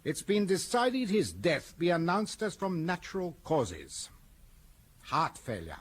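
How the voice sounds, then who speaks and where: An actor playing an imperious British police commissioner in a radio drama, barking clipped commands. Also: male voice, on the high side, huge pitch swings, takes it slowly, husky, full character voice. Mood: firm, commanding.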